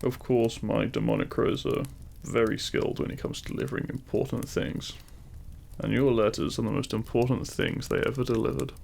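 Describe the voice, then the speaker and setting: Demon king romantic lead, male actor: very heavy vocal fry, tender and teasing, courtly wording, intimate ASMR roleplay delivery. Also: medium pitch, fairly even intonation, brisk, darkish tone. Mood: fond, amused. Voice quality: gravelly. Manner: tender, formal, courtly phrasing.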